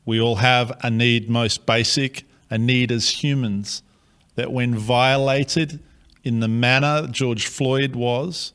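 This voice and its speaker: A man with an Australian accent, a pastor giving a slow, earnest, measured address to his city. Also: mid-range voice, steady tone, a bit bright, smooth.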